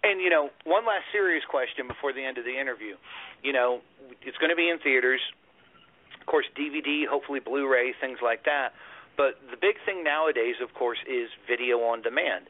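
A male horror podcast host asking guests questions, enthusiastic and quick to swear and laugh along. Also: medium pitch. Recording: tinny phone-like audio.

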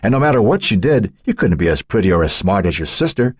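A man reading the part of a calm male psychiatrist who prods with measured questions, husky voiced. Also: fairly low pitch, dry recording, clean studio sound, voice pushed up. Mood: probing. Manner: measured, prodding, faintly mocking.

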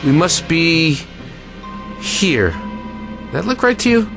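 A man's voice: on the high side, very animated, slow, gravelly, music in the background, heavily acted. A man playing a firm, protective army officer in a war audio drama, giving clipped orders. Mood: firm command.

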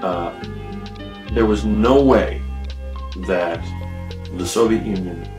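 A man's voice: on the low side, takes it slowly, a little mellow. A middle-aged American man giving a reflective documentary interview, seated in a dim studio. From United States. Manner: reflective, gestures while talking. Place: dim studio with acoustic panels. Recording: roomy interview with music behind.

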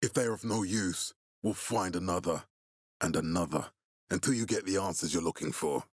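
A commanding male militia leader from a video game. His voice is low and rough-edged, recorded very dry. He speaks deliberately, with stern resolve and a fatherly authority. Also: dry recording. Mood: grave, measured.

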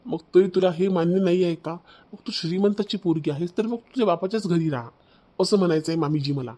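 A man's voice: high voice, dark tone. A man voicing an aggrieved, proud young husband in a cartoon family drama, accusing and ranting, with a Vidarbha Marathi accent. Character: aggrieved, proud young husband complaining about his wife.

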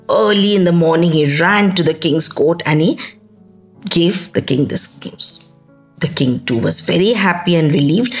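A middle-aged woman with an Indian accent telling a folktale in an expressive, emphatic way, with conversational asides. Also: low-pitched. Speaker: storyteller. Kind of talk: dramatic oral folktale storytelling. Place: indoors, small plain room. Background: music.

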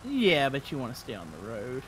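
A man's voice: mid-range voice, huge pitch swings, fairly quick, a bit bright. A man in casual multiplayer gaming chat, giving his group directions over game vehicle noise. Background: game vehicle sounds.

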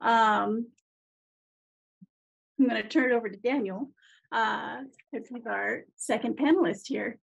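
A woman's voice: a little high, takes it slowly, vocal fry, long pauses, slightly rough, highs cut off. A woman moderating a video panel who politely names herself each time before she speaks. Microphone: video call.

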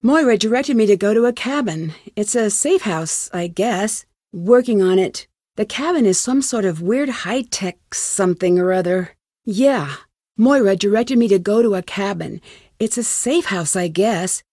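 A woman voicing a young amateur detective in a video game, inquisitive and determined, with a clear, earnest, questioning delivery. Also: mid-range voice, lively intonation, measured pace, mellow, no room sound, studio quality. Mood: matter-of-fact. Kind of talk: scripted game dialogue, isolated lines.

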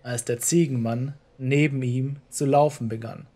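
Slow-paced male story narrator. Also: medium pitch, steady tone, dark tone. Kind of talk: scripted horror-fiction narration. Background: music.

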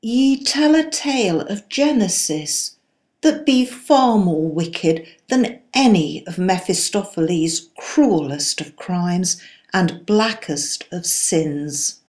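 Slow, solemn woman reciting poetry. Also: on the low side, lively intonation, a little mellow. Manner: solemn, deliberate, declamatory. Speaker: poetry reader.

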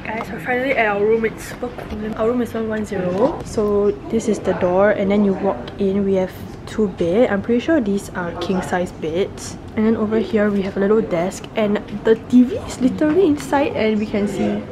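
A woman's voice: medium pitch, normal speed, hardly any pauses, crowd noise. A young woman chatting casually to viewers as a travel vlogger, filming herself on a handheld camera. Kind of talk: casual vlog chat to viewers. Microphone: handheld camera at arm's length. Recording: clear background noise.

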